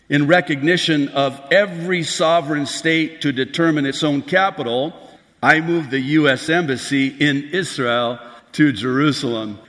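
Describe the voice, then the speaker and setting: A man's voice: a little high, fairly bright tone. A man preaching a prophecy sermon as pastor, emphatic and building to raised outbursts, recorded in a large hall. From Lebanon. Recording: long hall reverb.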